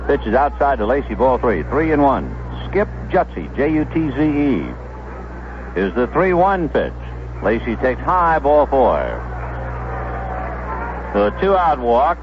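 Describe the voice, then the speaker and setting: Animated man calling baseball on noisy old radio. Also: mid-range voice, slow, long pauses. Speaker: baseball play-by-play announcer. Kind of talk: live radio play-by-play commentary. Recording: muffled, noisy 1970s AM radio broadcast.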